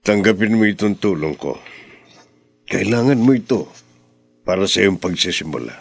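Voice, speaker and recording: A man acting a stiff, self-important older gentleman in a radio drama, with a gravelly voice. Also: low voice, expressive, normal speed, dry recording, full character voice. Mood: awkward, condescending.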